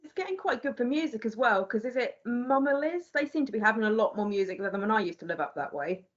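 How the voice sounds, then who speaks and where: Woman interviewer, quick talker, lots of fillers, dull lo-fi audio. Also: on the high side, animated. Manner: fast, with many fillers like sort of. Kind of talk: casual interview.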